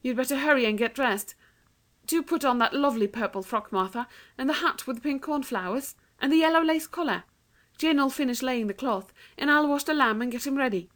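A woman's voice: sits high, brisk, fairly bright tone, pitched above the performer's own voice. A woman voicing an earnest, eager young girl who speaks politely and coaxingly in a children's storybook reading. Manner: polite, coaxing, well-spoken.

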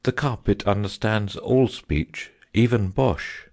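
A woman voicing a calm, haughty magical Phoenix with formal speech, as in a storybook. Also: a bass voice, takes it slowly, pitched below the performer's own voice, exaggerated voice. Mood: calm, pompous. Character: grand, unhurried magical talking Phoenix bird.

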